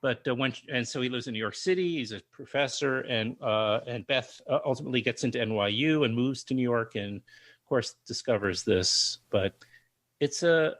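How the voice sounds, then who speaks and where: A man's voice: medium pitch, normal speed, a bit bright. A man hosting a podcast interview over a video call, rambling and often restarting his questions. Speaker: podcast host. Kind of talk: casual interview chat.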